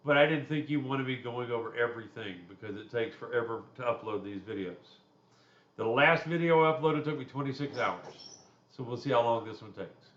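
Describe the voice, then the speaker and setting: A man's voice: a little fast, fairly bright tone, roomy sound, lo-fi sound. A man, giving a recorded class lecture in a loose, conversational way with personal asides. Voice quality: deep voice. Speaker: instructor.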